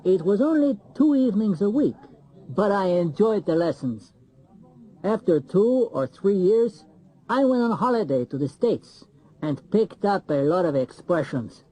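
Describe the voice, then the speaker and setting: A man's voice: high-pitched, warm tone, full character voice, rough recording. A man playing a travel agent. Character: Mexican travel agent, relaxed. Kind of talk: scripted textbook monologue.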